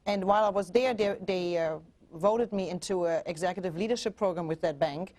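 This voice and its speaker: A woman's voice: on the low side, a little fast, a little mellow, a little hiss or hum. A woman in her forties from Germany with a German accent, a relationship coach talking earnestly as a guest on a TV show. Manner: earnest, with frequent restarts and fillers. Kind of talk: TV interview.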